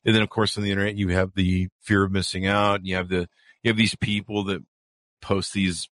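A man's voice: deep voice, level tone, a little fast, fairly bright tone, no room sound. A man hosting a podcast, talking casually with rambling asides and many fillers. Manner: rambling, chatty, many "you know" fillers. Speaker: podcast host. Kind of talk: casual interview chat with an ad read.

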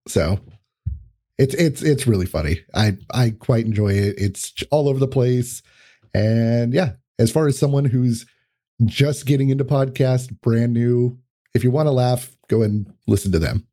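A man's voice: medium pitch, warm tone. A man talking as a podcast guest, explaining things in a casual, conversational way.

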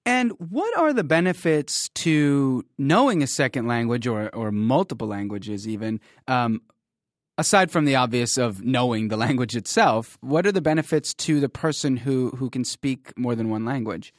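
A man hosting a podcast, reading a scripted introduction and then asking interview questions in a measured way. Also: mid-range voice, lively intonation, no echo, clean studio sound. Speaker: podcast host.

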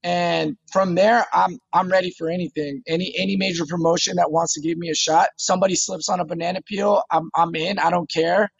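A man's voice: high voice, fairly even intonation, a little fast, creaky, few pauses, dry recording, lo-fi sound. A man in his thirties, a fighter talking casually in an interview, eager and often repeating words as he starts a phrase. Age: 30s. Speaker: MMA fighter guest.

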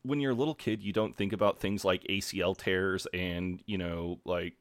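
A man's voice: low-pitched, fairly even intonation, normal speed, no room sound. A man co-hosting a podcast in casual chat, swearing freely and laughing at himself, congested. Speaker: podcast co-host.

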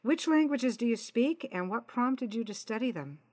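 A woman's voice: on the high side, expressive, normal speed. A middle-aged woman from Canada hosting a casual interview, chatty and agreeable, laughing easily. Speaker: host.

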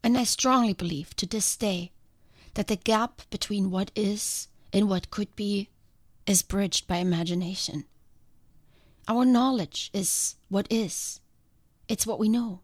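A woman speaks close to a podcast microphone in a calm, earnest and reflective way, hosting her own solo show. Her voice sounds congested from a sinus infection, and the recording is dry, with no room sound. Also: medium pitch, measured pace, no room sound. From outside the country she now lives in. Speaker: podcast host.

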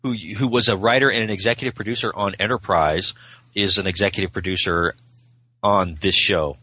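A man's voice: on the low side, fairly even intonation, fairly quick, pushed and bright, husky, no echo. A man chatting casually as a podcast guest, candid and informal, often qualifying his own opinions. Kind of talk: casual chat about TV shows.

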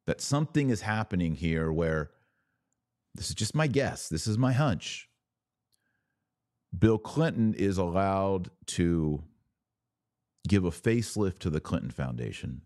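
A man's voice: low-pitched, lively intonation, unhurried, long pauses, darkish tone, no room sound, clean studio sound. A man hosting a religious commentary podcast, reading text aloud and remarking on it in an emphatic, questioning manner.

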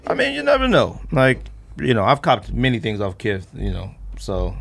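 Male podcast host, mid pitch with big swings, casual and argumentative, pushing back to defend his takes. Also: huge pitch swings, normal speed, creaky, a bit bright. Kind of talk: casual debate among friends.

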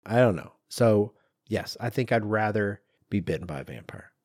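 A man's voice: on the low side, lively intonation, normal speed, darkish tone, no room sound. Chatty male podcast co-host, dry close sound. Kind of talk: casual chat, hypothetical debate.

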